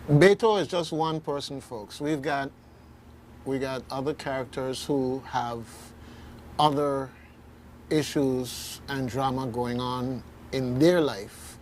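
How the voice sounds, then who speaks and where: A man with a Caribbean accent hosting an informal radio discussion in a halting, reflective way. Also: mid-range voice, a little mellow. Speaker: radio host. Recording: faint background noise.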